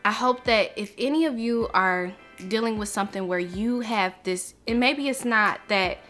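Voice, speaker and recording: A woman's voice: a little high, fairly bright tone, faint music behind. A young woman talking to camera on her vlog, earnest and reflective as she shares something personal. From United States. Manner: earnest, reflective, slow and heartfelt. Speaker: vlogger.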